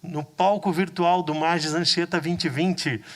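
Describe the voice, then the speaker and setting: A man's voice: a little high, steady tone, a little slow. A man hosting an event, formally introducing the next guest speaker. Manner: formal, announcing. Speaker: event host. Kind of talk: scripted introduction.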